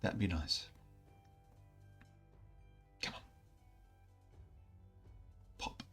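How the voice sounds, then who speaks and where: A chatty man talks through opening game packs at his desk, his voice a bit croaky from an oncoming cold. He rambles along with plenty of fillers, and soft music plays behind him. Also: very slow. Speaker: gaming channel host. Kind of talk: casual commentary while opening game packs.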